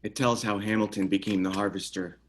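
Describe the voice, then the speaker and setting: A man playing a grave, haunted police detective in a screenplay table read, serious and measured. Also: fairly low pitch, fairly even intonation, lightly acted.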